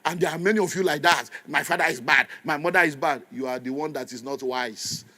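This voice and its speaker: Nigerian male pastor, fifties, lively preaching with Pidgin asides, voice pitched a touch high. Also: brisk. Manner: animated preaching, slips into Pidgin asides, laughs often. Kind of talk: sermon.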